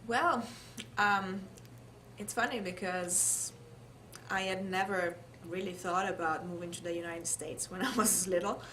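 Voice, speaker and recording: Low-pitched Italian woman, noisy recording. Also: steady tone, unhurried, sharp tone. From Italy. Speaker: interviewee. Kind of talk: oral history interview, personal recollection. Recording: clear background noise, room ambience.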